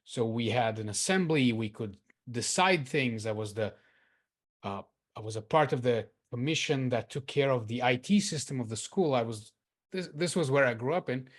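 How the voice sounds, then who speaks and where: A man's voice: mid-range voice, expressive, moderate pace. A man from Israel speaking as a participant in an online group discussion, earnest and reflective, growing emphatic on his key points, over a video call. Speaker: workshop participant. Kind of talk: personal sharing in a group discussion.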